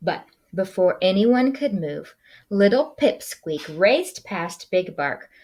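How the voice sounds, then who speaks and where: A woman who works as a school librarian, reading a children's picture book aloud with expressive, dramatic storytelling. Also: medium pitch, takes it slowly.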